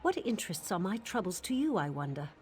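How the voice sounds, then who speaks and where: Wary, bitter widow. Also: female voice, lowish voice, sing-song, quick pace. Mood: suspicious. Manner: guarded, sharp-edged, questioning. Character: wary widowed woman gardener, bitter, suspicious. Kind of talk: game dialogue, AI voiced. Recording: faint game music behind.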